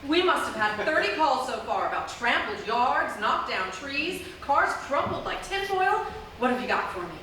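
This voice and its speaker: A woman's voice: sits high, very animated, normal speed, pushed and bright, exaggerated voice. Woman playing an exasperated mayor, swooping voice. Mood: exasperated, demanding. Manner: demanding, flustered. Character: exasperated, bossy female small-town mayor. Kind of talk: live radio comedy theatre. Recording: echoey theatre stage, distant mic, faint noise.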